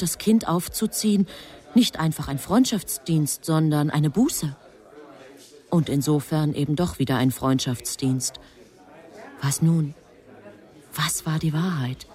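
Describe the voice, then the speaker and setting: A woman's voice: deep voice, a little slow, long pauses, a little mellow. A woman performing as the grave, composed narrator of a literary radio drama. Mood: grave, tense. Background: music.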